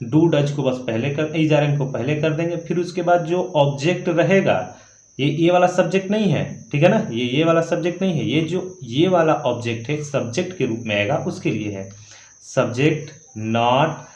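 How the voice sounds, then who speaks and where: Male grammar tutor, voice a touch high, instructional and repetitive with frequent check-ins, recorded in a very echoey room. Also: animated, normal speed, darkish tone. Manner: instructional, repeats key points, frequent check-in tags. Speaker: English grammar teacher. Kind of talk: classroom-style grammar lesson, explaining rules step by step.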